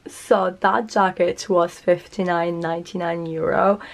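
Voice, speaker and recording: A young woman chatting casually to camera in her flat while recovering from a cold, hoarse and gushing. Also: lowish voice, unhurried. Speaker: vlogger. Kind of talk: casual vlog chat. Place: small flat, indoors.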